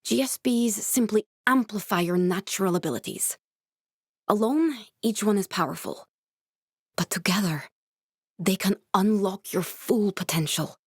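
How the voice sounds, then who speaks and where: An actress playing an earnest, guarded woman scientist in a video game, speaking in a measured, serious, instructive way. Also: mid-range voice, breathy edge, no room sound, clean studio sound. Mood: focused, briefing.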